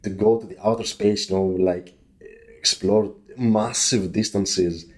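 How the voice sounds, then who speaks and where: A man's voice: fairly low pitch, steady tone. A man from Greece in his thirties with a Greek accent, chatting as a podcast guest and often restarting his sentences. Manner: halting, many restarts and fillers. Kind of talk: casual interview chat.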